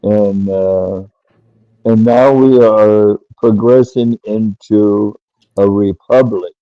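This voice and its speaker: Slow, dark-voiced man, lo-fi. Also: fairly low pitch, fairly even intonation, no room sound. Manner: slow, hesitant with uhs. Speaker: panelist. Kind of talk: casual political discussion. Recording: dull lo-fi dry sound.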